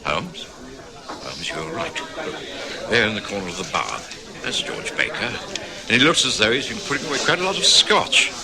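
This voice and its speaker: Genial gent in an old radio mystery, lowish voice, lively delivery, crowd noise behind. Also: male voice, normal speed. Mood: discreet, sociable. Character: Doctor Watson, genial English gentleman narrator and companion. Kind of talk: old-time radio drama acting, part narration.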